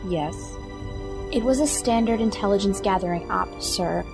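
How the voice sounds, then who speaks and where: An actress playing a guarded, formal starship communications officer in a fan audio drama. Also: female voice, mid-range voice, moderate pace, creaky, natural voice. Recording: music score underneath.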